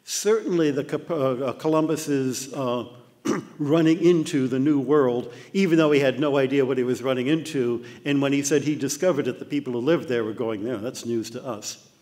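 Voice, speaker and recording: A man's voice: mid-range voice, normal speed. Older American man lecturing in a reverberant hall. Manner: animated storytelling with mock voices. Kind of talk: illustrated talk. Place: dark lecture hall with projector screen.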